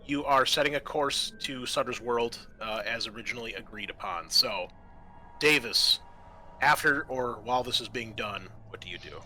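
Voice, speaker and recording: A man's voice: mid-range voice, moderate pace, poor audio. A man working as game master of a tabletop roleplaying game, talking informally and thinking aloud as he goes. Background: quiet game soundtrack music.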